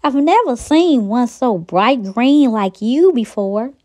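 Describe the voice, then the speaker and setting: A woman's voice: a little high, lively intonation, takes it slowly, warm tone. A woman voicing a big old male alligator with a folksy American country drawl, friendly, in a children's storybook. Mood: folksy, curious.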